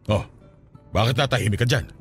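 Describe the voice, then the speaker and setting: A man's voice: fairly low pitch, brisk, husky, soft background music. A Filipino man acting a concerned, questioning, business-minded partner in a radio drama. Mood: caring, probing. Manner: questioning, solicitous.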